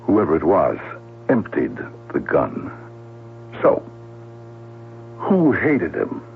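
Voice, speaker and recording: An actor playing a probing police lieutenant in a radio drama, gravelly and deliberate, putting pointed questions. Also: male voice, low voice, huge pitch swings, exaggerated voice. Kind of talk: 1970s radio drama acting. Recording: narrowband old radio broadcast with hum.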